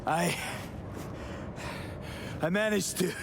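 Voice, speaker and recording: A man's voice: high-pitched, very slow, vocal fry, background noise, full character voice. An actor playing a brash, foul-mouthed young male mercenary in a video game, with an American accent and blunt, slangy delivery. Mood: focused. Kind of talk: cinematic video game acting. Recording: music running behind the voice.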